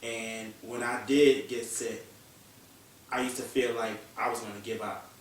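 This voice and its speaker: A man's voice: medium pitch, little pitch movement, unhurried, slight background noise. A young man in his early twenties speaking candidly and emotionally on a panel chat show, now and then swearing. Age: young adult. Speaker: guest. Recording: echoey room, distant mic.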